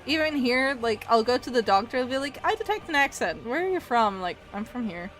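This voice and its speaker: A woman's voice: fairly high pitch, expressive, brisk, a bit bright. Woman, 20s, Scottish-Canadian accent, giggly casual streamer chatting over faint music. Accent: mixed Scottish and Canadian. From Scotland. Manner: chatty, laughs often, answers chat aloud. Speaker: art streamer. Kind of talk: casual live-stream chat while drawing.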